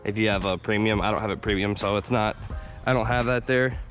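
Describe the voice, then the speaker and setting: A man with a hoarse, raspy voice from just waking up, casually talking through a car install for his vlog on a handheld camera, rambling with plenty of fillers. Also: lowish voice, brisk, faint music behind. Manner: relaxed, rambling, many fillers. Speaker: car vlogger.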